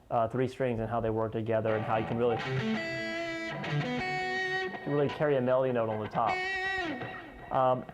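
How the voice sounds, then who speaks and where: A man teaching guitar in an informal, conversational way, explaining step by step and pausing as he points out each note. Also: mid-range voice, a little mellow, poor audio. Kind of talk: informal instructional lesson, demonstrating step by step.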